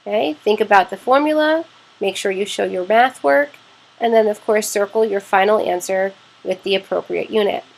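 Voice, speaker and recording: A woman's voice: mid-range voice, moderate pace, creaky, poor audio. A woman science teacher narrating a lesson step by step, explaining plainly and often checking in with "okay".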